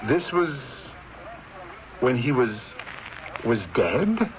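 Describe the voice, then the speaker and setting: An actor playing a puzzled, plodding police sergeant with halting questions in a 1970s radio mystery. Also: male voice, mid-range voice, sing-song. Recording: muffled, noisy 1970s radio recording.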